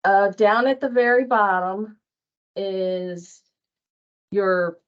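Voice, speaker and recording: A woman giving an informal workplace training walkthrough, speaking in a plain, halting, conversational way over muffled online meeting audio. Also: medium pitch, smooth. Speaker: staff trainer on invoicing. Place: online meeting with screen share.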